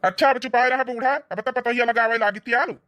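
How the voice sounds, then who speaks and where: A man's voice: very high voice, steady tone, fast, pushed and bright. A man voicing an old, plain-spoken, easygoing village father-in-law with an Awadhi accent in a comedy cartoon. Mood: brisk. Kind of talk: rural family comedy cartoon dialogue.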